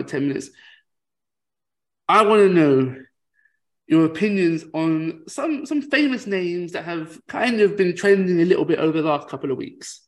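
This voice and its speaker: A man with a slightly high voice chats casually as a podcast host. He puts questions in a teasing, somewhat halting manner. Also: poor audio. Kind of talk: casual interview chat.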